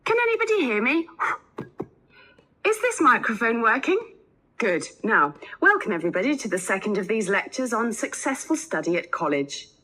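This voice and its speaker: A woman's voice: a little high, big pitch swings, normal speed, bright, forceful tone, full character voice. A woman playing an organised college lecturer welcoming students in a scripted, instructive talk. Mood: businesslike, welcoming.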